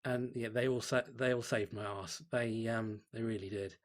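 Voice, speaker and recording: A man's voice: on the low side, fairly even intonation, normal speed. Earnest man chatting casually to viewers. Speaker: host, fan fiction reader. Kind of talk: casual outro chat to viewers.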